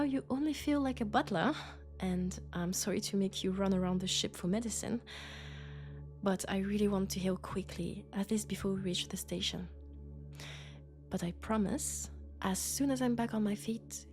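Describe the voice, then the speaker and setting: A woman with a French accent, sick with a cold, playing a gentle, sociable traveling doctor from Mars in an intimate sci-fi audio roleplay, chatty and soft. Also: mid-range voice, moderate pace, faint music behind, natural voice. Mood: affectionate, playful. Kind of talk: intimate sci-fi roleplay acting, one-sided conversation.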